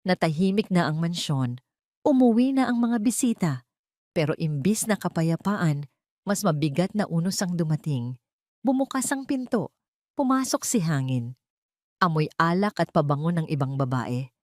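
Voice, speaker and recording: Low-toned Filipino woman telling a dramatic story. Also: big pitch swings, moderate pace, darkish tone, no room sound, studio quality. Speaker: storyteller narrating an audio drama. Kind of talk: dramatic scripted story narration.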